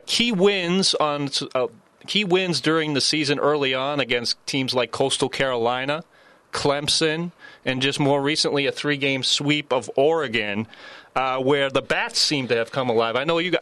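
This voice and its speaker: A man's voice: on the high side, pushed and bright, no echo. A man hosting a sports talk show, asking questions in a casual interview style.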